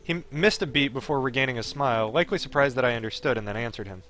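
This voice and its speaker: A man's voice: mid-range voice, racing, a bit bright, soft background music. A man streaming a game, reading its narration aloud expressively and dropping into casual offhand commentary. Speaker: streamer playing a visual novel.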